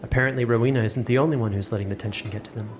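A man's voice: mid-range voice, fairly even intonation, very fast, faint music behind. A man giving scripted narration for a fantasy story.

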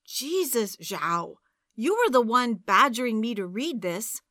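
A woman's voice: a little high, sing-song, measured pace, bright, forceful tone, no echo, studio quality, exaggerated voice. A woman voicing a defensive young man who apologizes while brushing off complaints. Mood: dismissive. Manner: apologetic then dismissive, wheedling excuses. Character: defensive young adult man, sheepish boyfriend.

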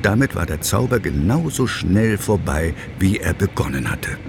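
A man narrating a children's audio drama as a wry, expressive storyteller. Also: sits low, moderate pace, vocal fry, over loud music, heavily acted. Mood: wry, storytelling.

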